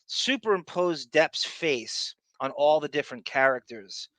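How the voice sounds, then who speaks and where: A male podcast host with a bright, forceful voice in a dry, close sound. He chats casually and rambles, doubling back on phrases and hopping between asides. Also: a little high, expressive, measured pace, no echo. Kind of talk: casual live chat show.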